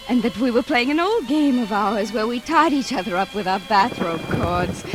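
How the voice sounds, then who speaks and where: An actress playing a terrified woman in an old radio thriller, with breathless, halting, melodramatic delivery. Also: a little high, lightly acted, poor audio. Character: terrified woman recounting her mad sister's deadly menace. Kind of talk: 1940s radio suspense acting, dramatic first-person narration. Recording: old radio drama, music behind.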